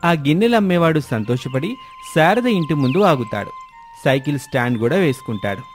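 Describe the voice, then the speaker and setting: A man's voice: on the high side, expressive, a little fast. A man reading a scripted story as its narrator, with music behind him.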